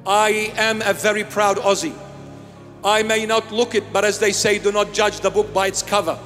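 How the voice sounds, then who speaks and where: A man's voice: high-pitched, level tone, normal speed, fairly bright tone, in a large hall, faint music behind. An older man, born in Iraq, preaching an emphatic sermon into a handheld microphone in a small church, repeating key phrases. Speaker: bishop. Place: small church with altar and candles.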